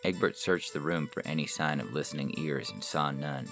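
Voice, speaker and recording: A man's voice: a bass voice, normal speed, fairly bright tone. A man reading scripted literary fiction as a story narrator. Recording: music under the narration.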